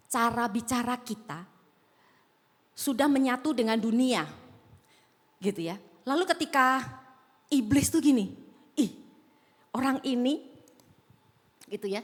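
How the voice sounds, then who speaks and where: Lady pastor preaching, bit of a javanese accent, highish voice, big echoey hall. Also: slightly rough. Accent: slight Javanese. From Sumatra, Indonesia. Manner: conversational, asks the congregation questions. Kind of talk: sermon. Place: large auditorium. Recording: echoing hall.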